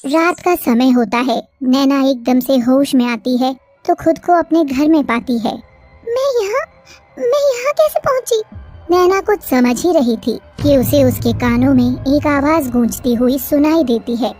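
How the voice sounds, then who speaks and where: A woman narrating an animated story in a steady storytelling cadence. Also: sits high, measured pace, few pauses, soft background music.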